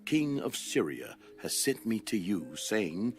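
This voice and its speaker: An actor playing a humble, grave royal messenger in a dramatized scripture audiobook. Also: male voice, fairly low pitch, sing-song, slow pace, soft background music. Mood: solemn.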